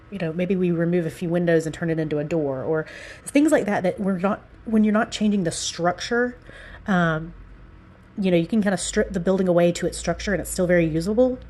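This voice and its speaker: A woman's voice: lowish voice, warm tone. Stuffy-nosed woman chatting casually. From American South. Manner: rambling, with many fillers and restarts. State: stuffy, congested from allergies; slightly out of breath. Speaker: Sims builder and architect. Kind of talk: casual build commentary.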